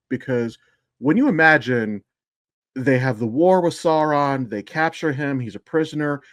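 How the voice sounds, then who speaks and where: A man's voice: medium pitch, no room sound, studio quality. A man co-hosting a podcast with casual, emphatic commentary on shows and films, explaining at length and swearing freely. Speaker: podcast co-host.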